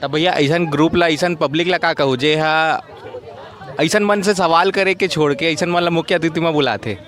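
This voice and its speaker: A man's voice: on the high side, normal speed, a bit bright, a faint crowd. A man with a Chhattisgarhi accent working as a field reporter, asking questions in an informal on-the-street news style.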